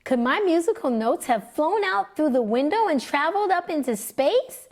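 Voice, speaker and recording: A woman voicing an eager, curious young boy in a children's storybook reading. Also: normal speed. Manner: excited, eager, high-pitched child voice.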